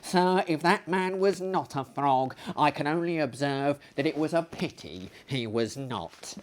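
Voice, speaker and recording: A man voicing an excitable, very lean little gentleman in a big wig, emphatic and theatrical, in a storybook. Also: a little high, a little slow. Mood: eager admiration.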